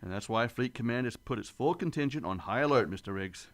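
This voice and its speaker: A man playing a steady starship captain in a sci-fi radio play, speaking with formal military authority. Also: medium pitch, fairly quick, natural voice. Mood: grave.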